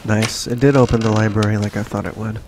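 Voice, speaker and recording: A man hosting a let's play, reading in-game notes slowly and evenly between short casual asides. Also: fairly low pitch, level tone, noisy background. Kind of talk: casual gameplay commentary and reading notes aloud.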